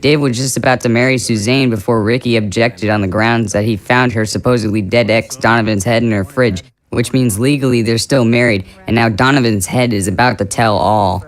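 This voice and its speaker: An actor playing a lazy, sarcastic teenage brother glued to the TV in an audio drama. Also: male voice, medium pitch, fairly even intonation, brisk, few pauses, close to an everyday voice. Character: lazy couch-potato teenage brother Nick.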